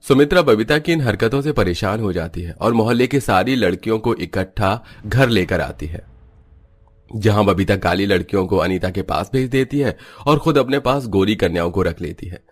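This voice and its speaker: A man's voice: lowish voice, lively intonation, normal speed. A man giving scripted narration for a cartoon story, as its storyteller.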